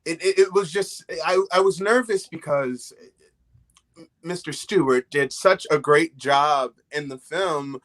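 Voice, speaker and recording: Young guy on a video call, bright forward voice, candid, pauses while he thinks. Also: fairly high pitch. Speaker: actor. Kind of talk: candid, casual interview answer. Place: small indoor room at home.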